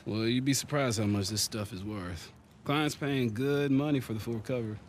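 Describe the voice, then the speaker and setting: An actor playing a middle-aged American salvage boss in a video game, genial, casual and persistently coaxing. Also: male voice, mid-range voice, normal speed, a little hiss or hum, natural voice. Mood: friendly, pleased. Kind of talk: naturalistic video game acting. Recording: game dialogue over faint outdoor ambience.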